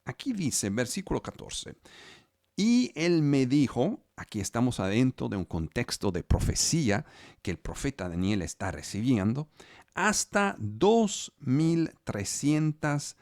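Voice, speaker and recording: Slow, lively-pitched non-native preacher. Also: male voice, dry recording, clean studio sound. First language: not Spanish. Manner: slow, halting, repeats words while searching for phrasing. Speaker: pastor. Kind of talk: sermon. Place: home studio for a live online service.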